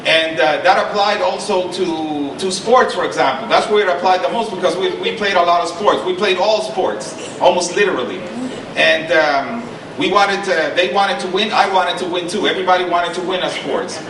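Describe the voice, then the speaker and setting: A man's voice: high-pitched, normal speed. Honduran-born man speaking in an echoey hall. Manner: earnest, repeats and restarts, stresses points with "okay?". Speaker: panelist and Spanish lecturer. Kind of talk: panel talk with personal story. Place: lecture hall. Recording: echoey hall, mic from a distance, noisy.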